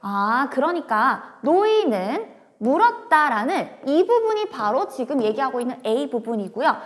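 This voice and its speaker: A woman's voice: sits high, sing-song, a little slow, in a large hall. A woman teaching a grammar lecture, talking straight to her students and moving from casual talk into polite speech.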